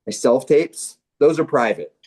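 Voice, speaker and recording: Deadpan man bantering casually. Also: mid-range voice, a little slow. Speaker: comedy show performer. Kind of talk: casual banter and script read-through.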